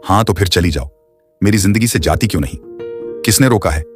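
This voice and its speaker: A man voicing a harsh, dismissive husband in an animated story. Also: deep voice, fairly quick. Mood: scornful. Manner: harsh, scornful. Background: background music.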